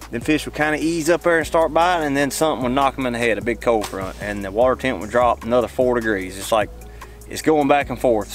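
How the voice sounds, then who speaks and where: A young man with a drawl, casually rambling about bass fishing from his boat on a lake. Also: a bit bright. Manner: drawling, rambling, talks to the fish. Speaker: fishing channel host. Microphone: camera mounted on the boat.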